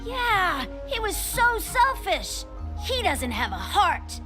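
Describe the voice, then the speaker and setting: A woman voicing a blunt, excitable young child in a video game, with emphatic childlike delivery. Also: very high voice, slow pace, over loud music, exaggerated voice. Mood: indignant.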